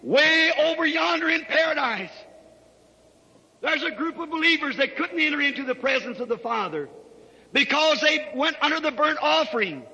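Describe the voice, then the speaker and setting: Male preacher, very high-pitched and intensely sharp, declaiming fervently in a long-reverb hall. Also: lively intonation, moderate pace, muffled recording. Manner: dramatic, declamatory delivery with emphatic shouts. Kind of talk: fervent revival sermon, storytelling.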